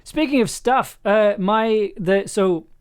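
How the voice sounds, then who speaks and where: A man chatting casually on a livestream as he works, enthusiastic but halting, repeating words and letting thoughts trail off. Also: high-pitched, smooth. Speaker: audio drama maker livestreaming an editing session. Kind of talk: casual livestream chat while working.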